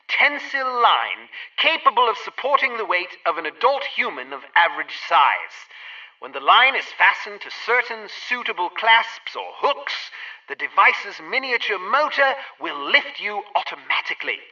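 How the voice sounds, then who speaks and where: A man's voice: high voice, bright, forceful tone, full character voice. An actor playing a male gadget instructor in a game, giving precise scripted instructions, slightly muffled and distant.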